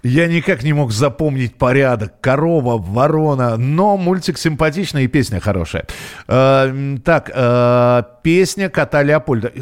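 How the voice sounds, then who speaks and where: A man hosting a casual radio talk show, halting and searching for words as he chats and reads out listeners' messages. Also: mid-range voice, darkish tone.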